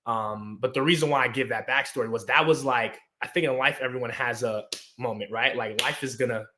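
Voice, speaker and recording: A man in his twenties from the United States, appearing as a guest and telling his story in a relaxed, easygoing way. He fills his talk with ums and quick laughs, and his voice has a bright, crisp edge. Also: medium pitch, slight background noise. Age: 20s. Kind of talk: conversational storytelling.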